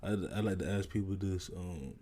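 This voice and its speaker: A man hosting a casual podcast interview in a university library, asking relaxed questions full of fillers. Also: low voice, normal speed. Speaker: podcast host.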